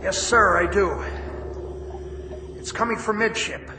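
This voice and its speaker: An actor playing a dutiful, plain-spoken Navy diver in a radio drama, reporting tersely. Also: male voice, high voice, slow pace. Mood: matter-of-fact, alert. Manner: obedient, terse, matter-of-fact. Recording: distant, echoey, faint ambient noise.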